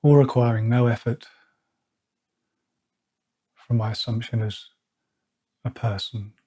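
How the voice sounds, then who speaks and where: Soft-spoken man guiding a slow meditation. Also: medium pitch, fairly even intonation, slightly rough. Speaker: meditation guide. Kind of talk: guided meditation. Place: outdoors or by an open window with birds nearby.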